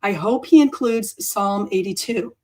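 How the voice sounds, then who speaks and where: Woman host, froggy hoarse voice, rambling unscripted livestream chat. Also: medium pitch, a little slow, darkish tone. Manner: rambling, self-correcting, chatty. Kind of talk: off-the-cuff livestream talk.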